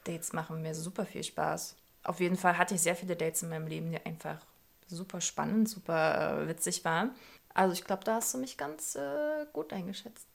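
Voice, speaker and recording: A woman's voice: fairly low pitch, a little fast, creaky. A woman in her thirties from Leipzig, co-hosting a casual podcast in a chatty, enthusiastic way. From Leipzig, Germany. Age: 30s. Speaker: podcast co-host. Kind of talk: casual chat.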